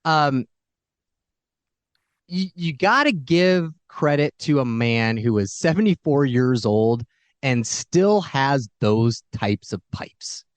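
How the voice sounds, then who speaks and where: A man's voice: medium pitch, expressive, slow pace, clean tone, dry recording. A man co-hosting a casual interview, bantering in an effusive, jokey and emphatic way. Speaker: show co-host.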